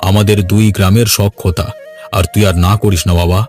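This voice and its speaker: Man voicing a low-pitched, earnest village father. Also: level tone, normal speed, darkish tone, close to an everyday voice. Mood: earnest, persuasive. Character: earnest village father planning his son's wedding. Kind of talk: cartoon voice acting in a family drama. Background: background music.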